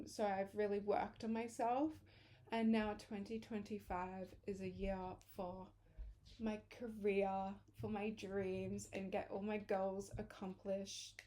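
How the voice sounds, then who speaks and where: A young woman chats casually to camera. She rambles and corrects herself, and her voice is a little hoarse. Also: mid-range voice, dark tone. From England. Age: early 20s. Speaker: vlogger.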